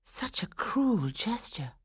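A woman's voice: medium pitch, expressive, unhurried, husky, no room sound, pitched above the performer's own voice. A woman voicing a jealous, heartbroken young village woman in a storybook, proud and dramatically emotional. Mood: hurt, whispering.

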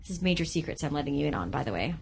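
A woman in her thirties, a grade five teacher, answering interview questions as a guest and explaining things in clear, simple terms for young listeners. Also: low-pitched, very animated, rapid-fire, darkish tone.

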